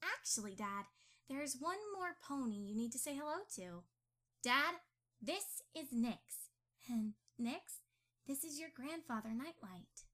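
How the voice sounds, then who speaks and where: Girl acting an earnest young pony daughter, really high bright voice, audio drama. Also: expressive, unhurried, exaggerated voice. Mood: hesitant, affectionate.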